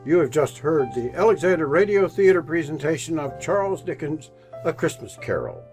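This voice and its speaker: A man's voice: fairly high pitch, sing-song, normal speed, a little mellow, soft background music. A man narrating and announcing a scripted old-time radio drama with theatrical storytelling and dramatic emphasis.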